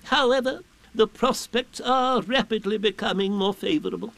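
A man voicing a pompous, long-winded owl in a children's story, with stately, drawn-out delivery. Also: high-pitched, vocal fry, a bit bright, dry recording, pitched above the performer's own voice. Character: pompous, long-winded owl who loves big words.

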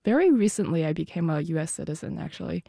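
A teenage girl being interviewed about her music, soft-spoken and giggly, with many "like" fillers. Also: low-pitched, expressive, fast talker, gravelly, no room sound, studio quality. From United States. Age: teens. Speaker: guest musician. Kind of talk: casual interview.

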